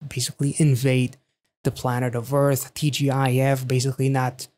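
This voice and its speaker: A man in his thirties giving casual reaction commentary in a halting, thinking-aloud way, close on a studio microphone. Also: mid-range voice, completely flat delivery, darkish tone. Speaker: reaction video host. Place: small quiet indoor home studio.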